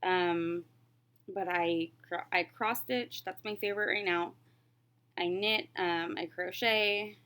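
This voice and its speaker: A woman in her late twenties with a slightly low voice, talking in a casual vlog style. She rambles through answers in a candid, reflective way, like someone chatting while working on a craft. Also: fairly even intonation, slow, a bit bright. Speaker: crafting vlogger. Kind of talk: casual chat, answering questions while stitching.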